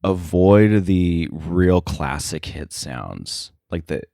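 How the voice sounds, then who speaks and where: A man chatting casually as an interview guest, halting and thinking aloud as he explains. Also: sits low, very animated, vocal fry, dark tone, no echo, studio quality. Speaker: podcast guest, sound editor.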